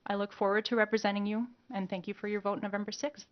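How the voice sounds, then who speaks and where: A woman's voice: medium pitch, flat delivery, fast talker. Woman giving a polished candidate speech. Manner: purposeful, polished. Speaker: political candidate. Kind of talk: prepared candidate statement. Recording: dull lo-fi broadcast audio.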